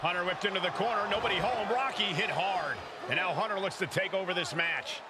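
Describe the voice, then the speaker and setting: A man calling play-by-play for a wrestling video game in excitable, punchy bursts. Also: high voice, brisk, natural voice. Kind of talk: live sports commentary for a wrestling video game. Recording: loud arena noise behind.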